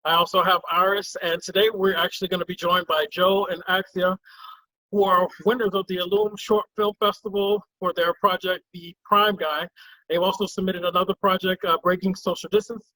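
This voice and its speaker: A man's voice: sits high, little pitch movement, fairly quick, bright, forceful tone, poor audio. A man hosting an interview show, opening with upbeat introductions. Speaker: host.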